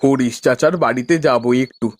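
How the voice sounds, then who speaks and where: A man's voice: mid-range voice, steady tone, a little fast, close to an everyday voice. A man voicing a cheerful, polite farmer husband from a village, in cartoon dialogue. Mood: cheerful, eager.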